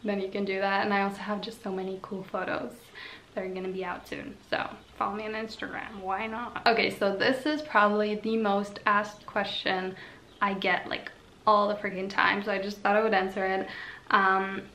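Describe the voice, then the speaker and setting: A woman's voice: medium pitch, little pitch movement, normal speed, bright, forceful tone, slight background noise. Chatty young woman in an echoey room. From Slovenia. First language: Slovene. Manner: chatty, with frequent fillers. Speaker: YouTuber. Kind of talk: casual Q&A vlog.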